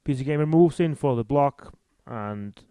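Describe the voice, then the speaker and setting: A man's voice: mid-range voice, normal speed, mellow, smooth, no room sound, clean studio sound. A man with an Icelandic accent giving match commentary on a tabletop miniatures game.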